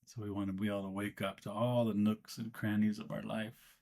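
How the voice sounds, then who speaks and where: A man leading a guided meditation as the guide, speaking gently and without hurry, often pausing mid-sentence. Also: on the low side, little pitch movement, vocal fry.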